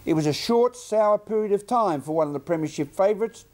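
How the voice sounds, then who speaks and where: High-pitched, mellow Australian male newsreader. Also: expressive, fairly quick. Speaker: news presenter. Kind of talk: scripted news reading.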